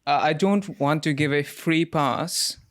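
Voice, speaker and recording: A man from India hosting a podcast interview, talking in an animated way with frequent fillers. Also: a little high, measured pace. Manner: animated when arguing, many fillers. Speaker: podcast host. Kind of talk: conversational interview.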